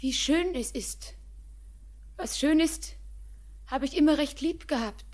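An actress playing a young bride in a classic radio play, speaking soft verse. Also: female voice, high voice, slow pace. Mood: wistful. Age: young adult. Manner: soft, lingering verse speech. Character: young bride, dreamy and grieving.

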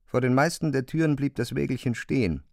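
A man narrating a literary radio drama in a measured, precise way, as a composed observer. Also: medium pitch, gravelly, a little mellow, no echo, studio quality, natural voice. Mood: measured, observant. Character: male narrator of an absurd bureaucratic tale, observant and composed.

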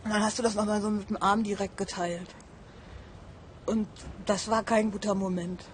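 A woman's voice: mid-range voice, level tone, moderate pace. A woman speaking spontaneously as an interviewee, recalling past events in an informal way. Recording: clear background ambient noise.

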